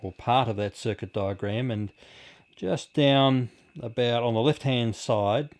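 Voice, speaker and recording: A middle-aged man presenting a hobby video, explaining casually in a halting, unhurried way. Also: medium pitch, a little mellow. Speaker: amateur radio hobbyist presenter. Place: small indoor radio room.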